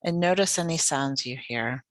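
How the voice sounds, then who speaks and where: A yoga nidra guide leading a guided meditation in a gentle, soothing, unhurried manner. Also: medium pitch, dry recording.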